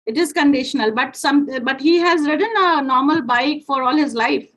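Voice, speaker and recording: A woman's voice: high voice, moderate pace, a bit bright. A woman whose first language is Bengali, teaching a class in English and questioning her students, with frequent fillers. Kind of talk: classroom discussion.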